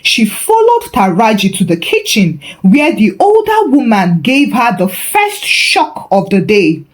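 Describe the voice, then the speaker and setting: A woman's voice: a little high, wildly swooping pitch, measured pace. A woman storyteller reading a scripted folktale narration.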